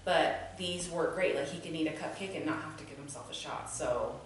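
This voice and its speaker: Distant in a very echoey room, faint noise, American woman, lowish voice, casual interview guest. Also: fairly quick. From Utah, United States. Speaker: interview guest, baker and business co-owner. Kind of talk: casual chat.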